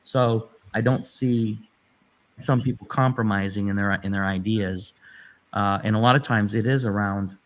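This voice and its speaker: A man's voice: fairly low pitch, fairly even intonation, normal speed, poor audio. A man speaking conversationally as a guest in a political discussion.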